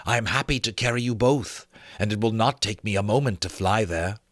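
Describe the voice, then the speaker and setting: A man's voice: on the low side, fairly quick, fairly bright tone, dry recording, clean studio sound. A man voicing a polite, curious, obliging young male dragon in a dramatic book reading. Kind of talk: dramatic audiobook character reading.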